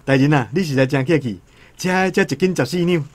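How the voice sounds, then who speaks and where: A man's voice: a little high, brisk, close to an everyday voice. An actor playing a humble, honest, poor vegetable seller and father in a radio drama, colloquial and deferential, with a Taiwanese accent.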